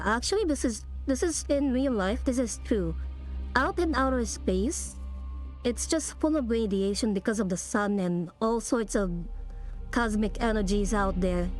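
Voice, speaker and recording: A woman's voice: mid-range voice, darkish tone. A woman streamer giving casual live commentary on a video game she is playing. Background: game music.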